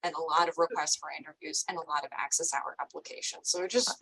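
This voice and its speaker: Lower-voiced woman pushing back. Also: fairly even intonation, fast, pushed and bright, slightly rough, poor audio. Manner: defensive, filler-heavy. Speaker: station staff member. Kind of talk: online meeting discussion. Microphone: video call.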